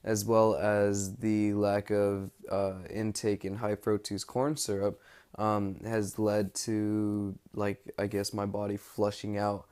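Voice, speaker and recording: A young American man recording a casual, rambling spoken diary, pausing often mid-thought as he searches for words. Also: fairly low pitch, flat delivery, darkish tone, clean tone. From United States. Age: young adult. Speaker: study-abroad student keeping an audio log.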